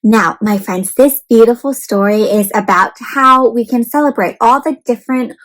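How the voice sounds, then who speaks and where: A woman hosting an interactive read-aloud for children, expressive and encouraging like a teacher, explaining simply and putting questions to her listeners. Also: fairly high pitch, moderate pace, rough recording.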